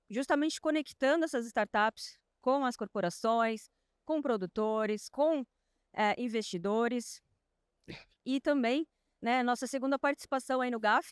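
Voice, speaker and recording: A woman opens a panel discussion at an event. Her voice is smooth and fairly high, and it sounds dry and close. She talks casually, full of hesitations and self-corrections. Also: no room sound. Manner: hesitant, many fillers and restarts. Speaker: panel moderator. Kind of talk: conversational panel introduction. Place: outdoor stadium event stage.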